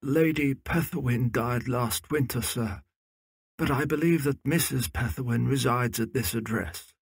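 An actor as a courteous Victorian footman, creaky and husky. He speaks formally in a period audio drama with a dry sound. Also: mid-range voice, a little slow, no echo. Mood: polite, formal.

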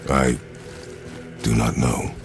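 An actor playing a grim, stoic Spartan warrior father in a video game, speaking tersely and solemnly in formal, measured words. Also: little pitch movement, exaggerated voice. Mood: grave, measured. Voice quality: deep, gravelly. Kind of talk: dramatic video game acting. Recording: loud music behind the voice.

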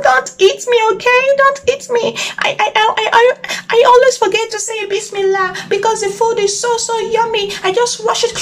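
A woman voicing a tiny hungry baby frog boy who whines and pleads in a squeaky, eager voice. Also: fairly bright tone, heavily acted.

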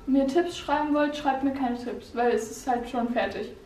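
A woman's voice: high-pitched, normal speed, far from the mic, noisy background. A young woman with a cold, chatting casually in her vlog while moving around a house. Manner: chatty, laughing, animated with hand gestures. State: has a cold. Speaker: vlogger. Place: bright empty room in a house, indoors. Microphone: camera mic from a few steps away. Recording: echoey bare room, off-mic.

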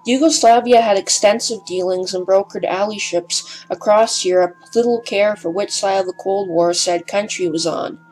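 A woman's voice: medium pitch, moderate pace, hardly any pauses, a little mellow, slight background noise, rough recording. Hesitant, slightly breathless woman narrator. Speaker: documentary narrator. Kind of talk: scripted voice-over narration.

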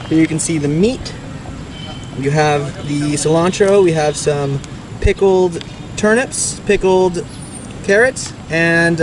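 A young man talking casually and eagerly to a camera held at arm's length, outdoors in a city park, with lots of fillers. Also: fairly high pitch. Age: young adult. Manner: chatty, enthusiastic, many fillers. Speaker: travel vlogger. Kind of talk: casual travel vlog. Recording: outdoor street noise.

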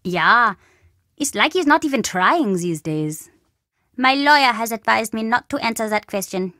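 Cheeky, high-voiced young boy. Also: animated, measured pace, sharp tone, no room sound, clean studio sound. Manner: cheeky, dramatic, childlike bluster. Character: mischievous, imaginative, chatty young boy. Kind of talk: video game character dialogue.

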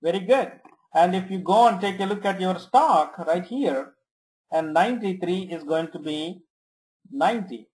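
Software trainer for screen tutorial: unhurried, slow delivery, short instructional commands with frequent check-ins. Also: mid-range voice, low-quality recording. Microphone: screen-recording voice-over.

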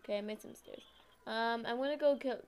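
Excitable, high-voiced young-sounding female gamer. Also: a little fast, smooth. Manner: excitable, exclaiming. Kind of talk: casual gameplay chat.

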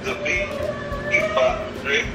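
A man's voice: a little high, huge pitch swings, slow pace, roomy sound, recorded from a distance, music in the background. A man on a phone call giving short, clipped answers about a recipe, heard through a phone on speaker. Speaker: phone caller.

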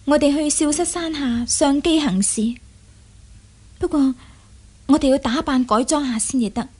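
An actress in a radio drama playing a shrewd, teasing and imperious young heroine. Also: female voice, high voice, moderate pace, darkish tone, lightly acted. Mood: scheming. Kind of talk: Cantonese radio drama acting.

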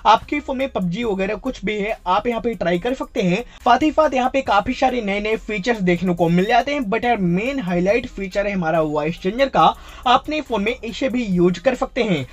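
A man's voice: high voice, animated, moderate pace. Man narrating a casual phone tutorial. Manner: mixes English phrases into speech. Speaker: tech channel host.

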